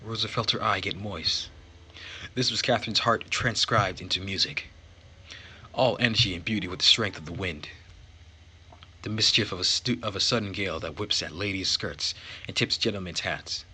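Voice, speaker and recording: A man reading a visual novel aloud as host and narrator, with measured, theatrical phrasing. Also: low voice, bright, forceful tone, breathy edge, low-quality recording. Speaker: let's play host and narrator.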